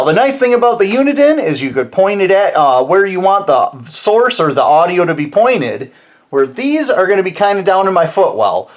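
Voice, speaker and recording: A man hosting a DIY build video, talking informally and folksily through a casual hands-on demonstration. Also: high-pitched. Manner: informal, folksy, mild slang. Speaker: host of a DIY truck build channel.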